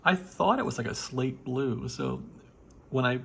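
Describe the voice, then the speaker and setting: A man giving a casual, rambling show-and-tell as a hobbyist, laid-back and halting as he thinks aloud. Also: medium pitch, very animated, gravelly, fairly bright tone, slight background noise. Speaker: hobbyist showing a restored radio receiver.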